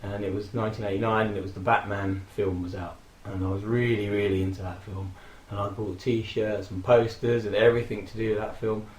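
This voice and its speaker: A man in his forties, hoarse from a throat infection, casually rambling and reminiscing with frequent fillers and laughs. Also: on the low side, level tone, fairly quick, few pauses, darkish tone, roomy sound, rough recording. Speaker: vlogger. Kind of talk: casual personal tribute monologue.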